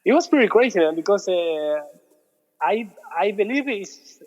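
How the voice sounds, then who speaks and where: Man in his thirties, Argentine accent, fairly high voice, lingering reverb. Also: moderate pace. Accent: Argentine Spanish. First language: Spanish. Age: 30s. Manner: relaxed, repeats words, non-native phrasing. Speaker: guest, muralist. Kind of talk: casual interview. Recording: dull audio with long reverb.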